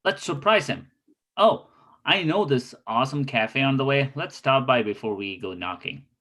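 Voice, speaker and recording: A man's voice: medium pitch, animated, normal speed, pushed and bright. A man in an amateur table read playing a pompous, genial detective and would-be writer with a theatrical manner. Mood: confident, breezy. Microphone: video call.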